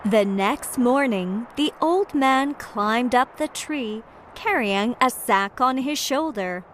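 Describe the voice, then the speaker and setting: A woman narrating a children's fairytale from a script, in clearly phrased storytelling style. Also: fairly high pitch, slow, slight background noise.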